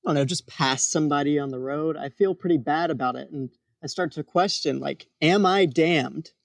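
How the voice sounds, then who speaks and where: A man co-hosting a podcast in casual comedic chat, speaking in a hesitant, deadpan way. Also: on the high side, fairly quick, no room sound. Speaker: podcast co-host.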